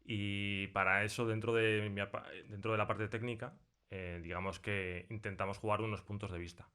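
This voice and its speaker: A man's voice: fairly low pitch, level tone, moderate pace, bright, forceful tone, smooth. A man with an accent from Spain, speaking conversationally in an interview as a sound designer, in colloquial language. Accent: Spanish from Spain. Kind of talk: conversational interview, explaining his craft. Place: recording studio.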